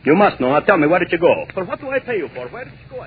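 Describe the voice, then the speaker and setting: An actor playing a jealous, imperious orchestra maestro and husband in a 1940s radio drama, measured and pointedly ironic. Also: male voice, high voice, very animated. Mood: angry, impatient. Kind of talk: 1940s radio drama acting with interior monologue. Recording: muffled, noisy 1940s radio recording.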